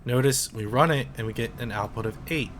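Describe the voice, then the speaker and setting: A man in his twenties, a teacher, calmly explaining programming in a casual screen-recorded tutorial with some fillers. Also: medium pitch, slight background noise. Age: 20s. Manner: slow, relaxed explaining with fillers.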